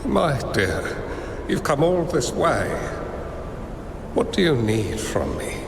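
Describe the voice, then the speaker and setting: A man playing a wise, reclusive hermit master in a video game, calm and enigmatic, with measured formal phrasing. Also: mid-range voice, vocal fry, full character voice. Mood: gentle, dismissive. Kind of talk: dramatic game voice acting. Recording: echoey hall reverb, noisy ambience.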